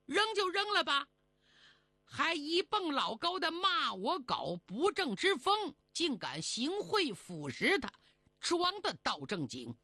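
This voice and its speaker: A woman's voice: sits high, wildly swooping pitch, takes it slowly, sharp tone, no echo, clean studio sound, voice pushed up, heavily acted. A woman voicing a grumpy, sneering male god in a comic folk tale, scornful, crude and grumbling.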